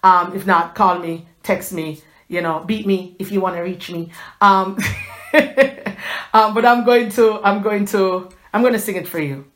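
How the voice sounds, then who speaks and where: A woman's voice: mid-range voice, steady tone, measured pace. A woman in her forties giving a fervent, chatty inspirational faith talk to her viewers, with a preachy edge and frequent restarts. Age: 40s.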